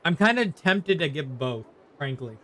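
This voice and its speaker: A man talking casually to viewers as a game streamer, in a halting, conversational way, often repeating himself. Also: fairly high pitch, very animated, pushed and bright, a little hiss or hum. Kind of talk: casual live stream chat while gaming. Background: faint game music.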